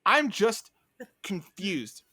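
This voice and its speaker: Man, slightly high voice, dry close sound, casual gaming podcast host, conversational, opinionated. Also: big pitch swings, extremely slow, bright, forceful tone, dry recording.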